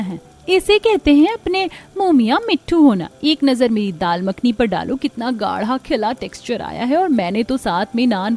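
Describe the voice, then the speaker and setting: A boastful young bride, as a woman puts her on, high and sing-song as she brags. She sounds brighter and higher than the narrator. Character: boastful young daughter-in-law, show-off.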